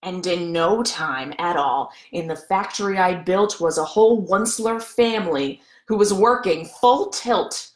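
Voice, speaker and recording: A woman's voice: fairly low pitch, unhurried, few pauses, a little hiss or hum, close to an everyday voice. A woman voicing a boastful, greedy male factory owner who tells his tale in a dramatic storybook read-aloud. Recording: echoey room.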